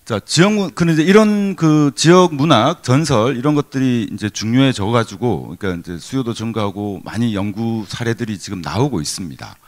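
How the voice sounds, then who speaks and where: Lively middle-aged man lecturing. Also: mid-range voice, fairly quick, few pauses. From Gyeongsang region, Korea. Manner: lively, conversational, many fillers and restarts. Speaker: professor giving a keynote lecture. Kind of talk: academic seminar lecture. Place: conference hall.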